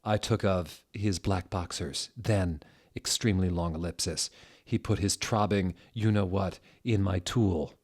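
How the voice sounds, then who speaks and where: A man hosting a mock-dramatic reading of fan fiction, deadpan, sounding out typos and punctuation as written. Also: deep voice, a little slow, husky. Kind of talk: mock-dramatic scripted narration.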